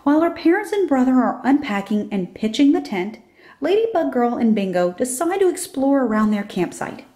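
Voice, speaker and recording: A woman reads a children's picture book aloud. Her voice sits fairly high and has a soft, mellow tone suited to story narration. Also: very animated, normal speed. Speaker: storybook reader.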